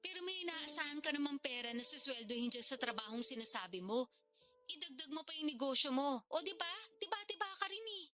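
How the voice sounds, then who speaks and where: A woman's voice: high-pitched, lively intonation, a little fast, sharp tone. A Filipino woman playing a pushy older sister from the province, sarcastic, complaining and wheedling in a radio drama. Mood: pleading, wheedling. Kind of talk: Tagalog radio-drama phone dialogue. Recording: music bed behind the voice.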